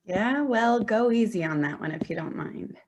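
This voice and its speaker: Actress doing a bitter grown-up daughter in a play reading, dry sarcasm, confrontational, kinda wounded. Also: female voice, medium pitch, animated, normal speed, a little hiss or hum, lightly acted. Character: wounded, sardonic adult daughter, pressing her mother.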